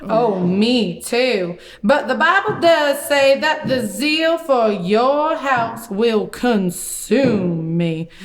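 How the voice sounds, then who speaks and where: A woman voicing a folksy, excitable male prophet puppet who tells stories theatrically in a children's puppet show. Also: a little high. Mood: earnest explaining. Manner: folksy, theatrical, drawn-out delivery.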